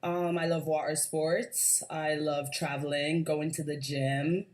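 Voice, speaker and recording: A woman in her thirties from Nigeria with a slight Irish accent, calmly answering questions on a dating show. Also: low-pitched, slow. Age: 30s.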